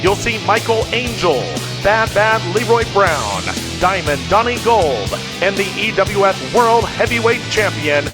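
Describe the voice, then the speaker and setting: High-pitched hyped wrestling ad announcer acted by a man. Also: animated, a little slow. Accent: American. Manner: hyped, emphatic. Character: excited 1980s wrestling TV commercial announcer. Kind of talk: promotional announcing. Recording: music under the voice.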